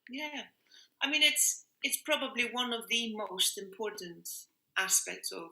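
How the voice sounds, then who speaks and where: Bright-voiced woman in a roomy space. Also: a little high, animated, unhurried. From Iceland. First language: Icelandic. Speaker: interviewed music workshop leader. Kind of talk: reflective conversational interview. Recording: roomy, echoing sound.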